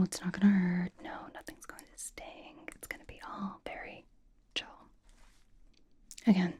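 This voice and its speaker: Woman doing a young girl pop idol, blunt but doting, slow whispery asmr roleplay, gentle n casual. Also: mid-range voice. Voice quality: whispered, breathy. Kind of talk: ASMR personal attention roleplay.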